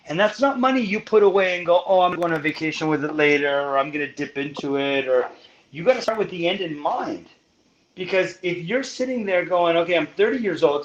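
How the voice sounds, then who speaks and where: Emphatic man with a slightly high voice. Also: highs cut off. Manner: emphatic and explanatory, often slowing to stress points. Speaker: podcast guest giving money advice. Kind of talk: casual podcast chat.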